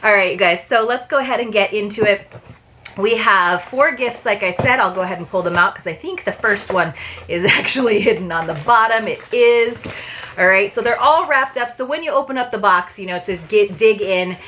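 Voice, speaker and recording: A woman's voice: mid-range voice, normal speed, fairly bright tone, slight background noise. A woman chatting casually to viewers as she unboxes and reviews a book subscription box, laughing often.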